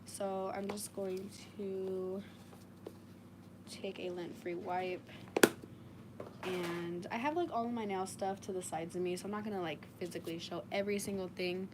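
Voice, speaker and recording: A woman with a raspy, slightly under-the-weather voice, chatting casually and informally as she demonstrates nail work on a livestream. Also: medium pitch, fairly even intonation, normal speed. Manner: informal, frequent "like". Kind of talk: casual live chat while demonstrating. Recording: clearly noisy home livestream recording. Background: washing machine noise.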